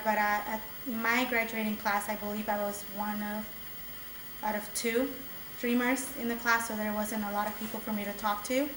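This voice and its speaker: A young woman from El Salvador gives a personal testimony to a campus meeting, earnest and conversational, stumbling into restarts. Her voice sits a little high but stays fairly level. She is picked up from across an echoey room. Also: noisy background. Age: young adult. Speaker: student speaker at a community meeting. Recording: echoey room recorded from a distance.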